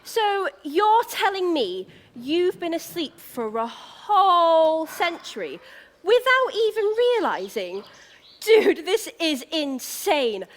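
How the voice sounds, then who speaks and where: An actress playing a vain, excitable young prince in a stage pantomime, talking in a slangy, over-excited influencer manner. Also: female voice, very high voice, exaggerated voice. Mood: incredulous, brash. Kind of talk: theatrical pantomime stage acting.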